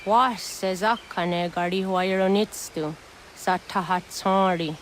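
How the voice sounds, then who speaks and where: A grave village elder woman in a game cutscene, acted by a woman. She speaks slowly and deliberately at a middling pitch, with faint outdoor ambience behind her. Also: steady tone, a little hiss or hum, natural voice. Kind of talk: scripted video game cutscene acting.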